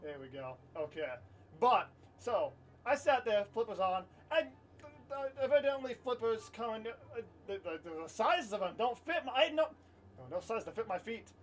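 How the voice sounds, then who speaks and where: A man's voice: high voice, animated, normal speed, distant mic. A man telling a personal story casually to camera in an informal, rambling way, repeating himself and swearing now and then. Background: light music.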